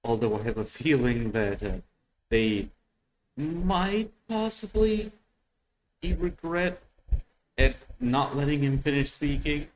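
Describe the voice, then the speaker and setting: A man hosting a reaction video, giving casual unscripted commentary in a halting way, often repeating words as he thinks. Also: a little high, lively intonation, low-quality recording. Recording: faint background noise.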